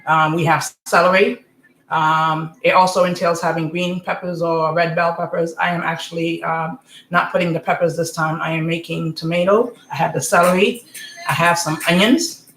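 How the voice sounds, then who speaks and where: A woman in her forties from Senegal hosting a casual live cooking stream from her home kitchen, chatty and rambling, often asking "okay?", with a slightly hoarse voice. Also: on the low side, steady tone, normal speed, a bit bright, echoey room, slight background noise, low-quality recording. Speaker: home cook, channel host. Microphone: computer or phone camera mic.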